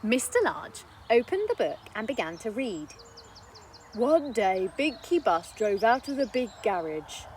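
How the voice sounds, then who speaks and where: A woman narrating a children's story in an expressive read-aloud style. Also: a little high, measured pace, a little hiss or hum. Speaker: storybook reader.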